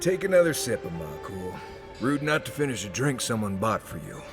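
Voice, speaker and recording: An actor playing a surly dwarven tracker in a video game, talking with gruff sarcasm. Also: male voice, fairly low pitch, very animated, a little fast, over music. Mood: sardonic.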